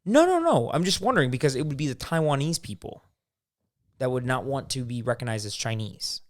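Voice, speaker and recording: A man's voice: mid-range voice, big pitch swings, brisk. Opinionated male podcast co-host. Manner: opinionated, explains with everyday examples, acts out quotes. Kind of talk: casual chat.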